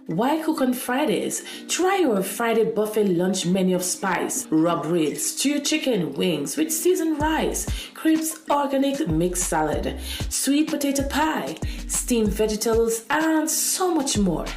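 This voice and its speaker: A woman announcer gives a scripted advert read with very lively intonation. The voice is fairly high and the sound is strongly echoey. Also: slow pace. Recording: very roomy, echoing sound. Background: faint music.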